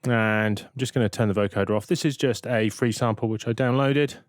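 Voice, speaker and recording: A man giving a casual, matter-of-fact software tutorial as a plain-spoken voice-over. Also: mid-range voice, steady tone, a little fast. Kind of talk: casual tutorial narration over screen recording.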